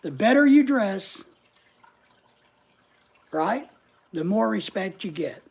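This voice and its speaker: A middle-aged man with a voice high enough that strangers take it for a woman's. He chats loosely with viewers, joking at his own expense. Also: sing-song, slow pace, creaky, husky. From United States. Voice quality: high voice often mistaken for a woman's. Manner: chatty, joking, self-mocking. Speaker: channel host reading live chat. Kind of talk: casual livestream chat.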